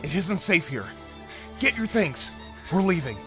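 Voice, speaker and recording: A very low, animated female voice as a gruff, protective traveling companion in an audio drama. The delivery is terse and commanding, with prominent music behind. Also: measured pace. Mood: urgent, commanding. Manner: terse, commanding, clipped phrases.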